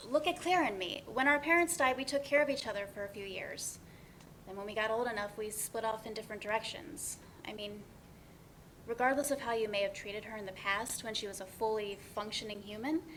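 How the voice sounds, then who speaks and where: A woman's voice: fairly high pitch, fairly bright tone, slight background noise, close to an everyday voice, poor audio. A woman playing an earnest young professional in a dramatic reading of a stage play, speaking conversationally with small hesitations. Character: Kat, earnest, apologetic young professional woman, teasing friend.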